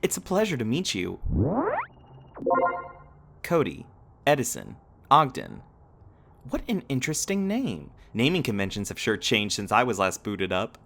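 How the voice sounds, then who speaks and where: A man's voice: mid-range voice, wildly swooping pitch, measured pace, creaky, long pauses, a bit bright, heavily acted. A man playing a cheerful, literal-minded robot companion in a scripted sci-fi roleplay, speaking in formal, precise, over-explaining sentences. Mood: friendly, earnest.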